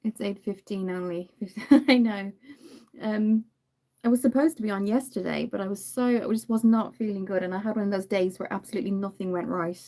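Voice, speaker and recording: A young woman with a Dublin accent talks in a relaxed, rambling way, stopping often to greet viewers by name. Her voice is mellow and warm. She sits at a medium, everyday pitch. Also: brisk. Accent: Irish, Dublin. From Ireland. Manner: chatty, apologetic, greets viewers by name, frequent ums. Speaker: makeup livestream host. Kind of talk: casual live-stream chat while doing makeup.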